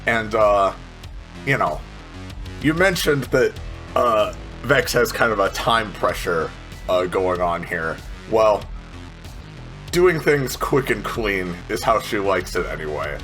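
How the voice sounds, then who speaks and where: A man's voice: fairly low pitch, animated, slow, pauses often, fairly bright tone, poor audio. A man talking casually as a player in a tabletop game. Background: music.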